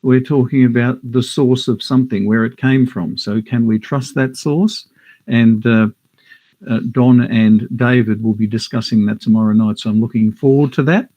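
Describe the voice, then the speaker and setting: A man's voice: mid-range voice, fairly even intonation, moderate pace, dark tone, highs cut off. An Australian man from Western Australia hosting from his home office, chatty, with many fillers and restarts. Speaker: host. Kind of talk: casual live-stream commentary.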